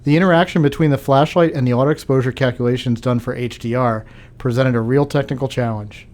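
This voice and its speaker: Male developer, average pitch, reading prepared technical commentary. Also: fairly even intonation, quick pace, a little mellow. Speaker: game developer.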